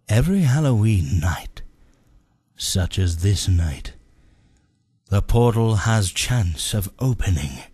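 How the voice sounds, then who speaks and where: A man voicing a melodramatic soothsayer of the 1830s, narrating a dark storybook legend in a grandiose, ominous way with a husky voice. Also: fairly low pitch, no echo, exaggerated voice. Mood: grave, foreboding. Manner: grandiose, ominous, drawn-out dramatic phrasing. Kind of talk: theatrical comic storybook narration.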